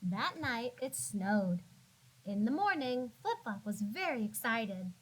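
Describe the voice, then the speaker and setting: Slow, high-voiced woman reading a storybook. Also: very animated, slightly rough, low-quality recording. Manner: dramatic, drawn-out words and sound effects for young listeners. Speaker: classroom teacher reading aloud. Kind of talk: expressive children's storybook narration.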